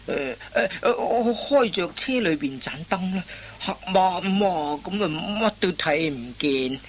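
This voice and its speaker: An actor playing a sarcastic old master thief in a Cantonese radio farce, scolding in a drawn-out way. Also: male voice, high-pitched, lively intonation. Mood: grumbling. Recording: noisy old radio drama recording.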